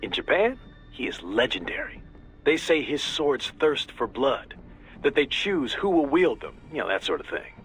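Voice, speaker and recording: A man's voice: medium pitch, big pitch swings, moderate pace, fairly bright tone. An actor playing an easygoing, tech-savvy male mission advisor in a video game, explaining things casually and keenly. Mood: amused, casual. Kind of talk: video game radio briefing voice acting.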